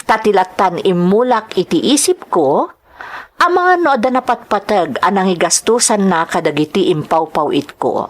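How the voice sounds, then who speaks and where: A woman narrates a scripted drama program in an Ilocano accent. Her mid-pitched voice rises and falls freely as she reads out announcements and letters as host. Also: animated, normal speed. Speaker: host and narrator of a radio-style drama show.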